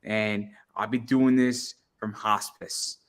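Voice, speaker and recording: A man's voice: on the low side, fairly even intonation, slow, smooth. A man of about thirty hosting an interview in a casual chat, stammering and repeating words as he thinks aloud. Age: around 30. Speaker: interview show host.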